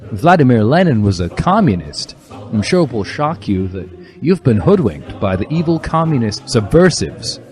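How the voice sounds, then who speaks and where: A man's voice: mid-range voice, big pitch swings, normal speed, darkish tone, close to an everyday voice. A man voicing a smug, condescending older corporate professor, lecturing in a patronizing, theatrical way for a comic story reading. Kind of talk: mock-dramatic fan fiction reading. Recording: music behind the voice.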